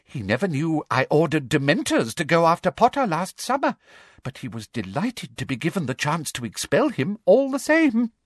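A man voicing a prim, cruel middle-aged woman official in a story, with a breathy, agitated, self-justifying manner. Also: on the high side, wildly swooping pitch, moderate pace, no echo, clean studio sound, voice pushed up, heavily acted. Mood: quiet menace.

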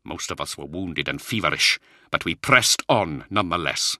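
A gaunt, brooding lord of knights, acted out by a male narrator. His low voice is rough and worn, and he speaks slowly and gravely, as a commander in a dark audiobook tale. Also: expressive, no echo, studio quality, exaggerated voice. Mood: brooding, haunted. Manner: slow, deliberate, grave. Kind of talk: audiobook character acting.

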